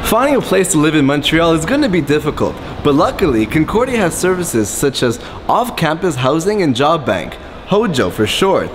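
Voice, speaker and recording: A man's voice: a little high, normal speed, few pauses, noisy background. A young man in his twenties, a student host, giving friendly tips straight to the viewer. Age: 20s. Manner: lively, friendly, addressing viewer. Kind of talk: upbeat guide to camera.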